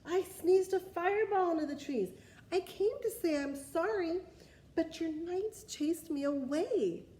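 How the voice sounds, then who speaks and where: A woman's voice: extremely high pitch, a little slow, warm tone, reverberant, voice pushed up, poor audio. A woman voicing a big male dragon who roars and pleads, read aloud from a storybook. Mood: pleading.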